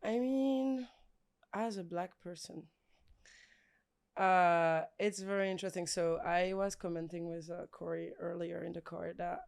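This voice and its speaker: A woman's voice: fairly low pitch, steady tone, measured pace, gravelly, darkish tone. A young woman from Belgium, a guest speaking thoughtfully in a casual podcast interview.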